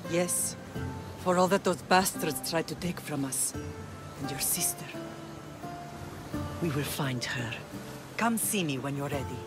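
A woman playing a solemn, measured middle-aged Spartan mother in a video game, warm toward her son. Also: deep voice. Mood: resolute. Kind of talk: dramatic video game acting. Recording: loud music behind.

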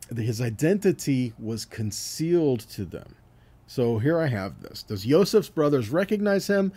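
A man's voice: medium pitch, mellow. A man teaching scripture in a sermon, reading verses aloud and explaining them, often checking in with a short question. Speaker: Bible teacher.

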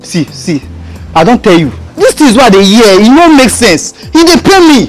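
A man's voice: extremely high pitch, moderate pace, soft background music. An actor playing a blunt, exasperated male friend, badgering his pal in Nigerian Pidgin. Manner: animated, badgering, repetitive. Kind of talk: Nigerian Pidgin film dialogue.

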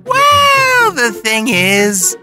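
A man voicing a nervous, chirpy young turtle brother, expressive and hesitant, in a comic dub. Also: very high-pitched, drawn-out words, full character voice. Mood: sheepish. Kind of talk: animated comic dub acting. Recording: music behind the voice.

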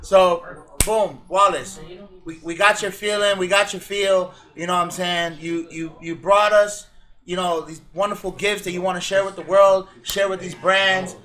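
A man hosting a podcast in casual chat, hyped and giving shout-outs, repeating himself. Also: sits high, fairly even intonation, normal speed. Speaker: podcast host.

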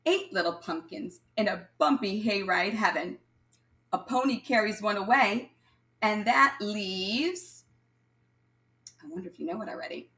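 A woman reading aloud and counting as a primary teacher, addressing young children in an instructional way and pausing for answers. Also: medium pitch, lively intonation, a bit bright. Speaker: primary school math teacher. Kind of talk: children's read-aloud lesson, counting along.

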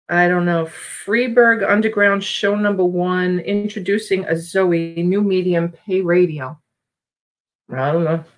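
Casual New York woman hosting a live record auction from home, rambling and friendly in tone. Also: medium pitch. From Long Island, New York. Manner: chatty, thinking aloud while reading album titles. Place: small home room full of shelves.

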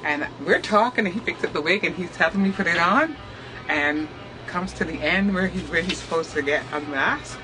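A middle-aged woman from Barbados, a theatre makeup artist who explains her work step by step in a lively way and laughs often. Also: lowish voice, moderate pace, music in the background, low-quality recording. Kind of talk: demonstrating work while talking to the camera. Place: small backstage dressing room, indoors.

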